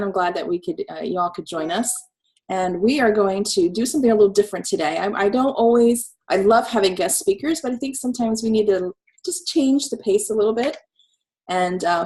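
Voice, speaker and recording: A woman in her forties with a cold, hosting a casual video call chat, chatty and quick to laugh. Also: medium pitch, a little mellow, rough recording. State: snuffly from a cold. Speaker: host.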